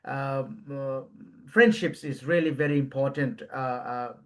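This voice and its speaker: A man from Bangladesh, hosting a casual podcast interview and often pausing to search for words. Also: medium pitch, fairly bright tone. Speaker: podcast host.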